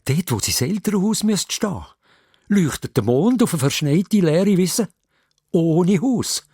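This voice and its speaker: A man's voice: high-pitched, lively intonation, unhurried, darkish tone, husky, dry recording, studio quality. A man with a Swiss German accent narrating a children's radio play in a dramatic storytelling style.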